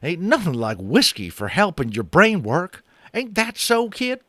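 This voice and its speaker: A man voicing a boastful, rowdy old cowboy in an audiobook, with a loud, drawling twang. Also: high voice, huge pitch swings, measured pace, no room sound, clean studio sound, voice pushed up, full character voice. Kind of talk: audiobook character voice.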